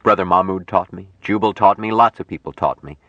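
Man voicing a deep, slow, earnest young man. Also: no room sound, dull audio. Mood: earnest, reflective. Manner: slow, deliberate, formal phrasing. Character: earnest young man raised apart from humans, solemnly tender.

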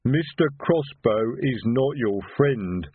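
Storybook troll sergeant, played by a male narrator: rumbling, plodding, loyal and simple, phone-like sound. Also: expressive, breathy edge, no echo. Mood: apologetic, dutiful. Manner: slow, plodding, simple grammar. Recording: narrow phone-like band.

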